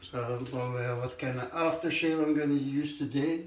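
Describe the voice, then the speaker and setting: Hoarse man in an echoey bathroom. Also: medium pitch, fairly even intonation. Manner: slow, halting, with frequent throat clearing. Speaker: shaving vlogger. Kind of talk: casual chat while shaving. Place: small tiled bathroom, indoors. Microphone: camera on the counter at arm's length. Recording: echoey tiled bathroom, faint noise.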